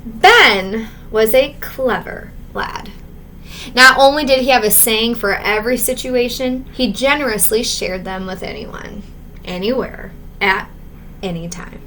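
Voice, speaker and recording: Female teacher narrating a picture book: slowish, bright, expressive, pausing on key words, slight noise behind. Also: on the high side. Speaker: teacher reading aloud. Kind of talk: children's storybook read-aloud with asides.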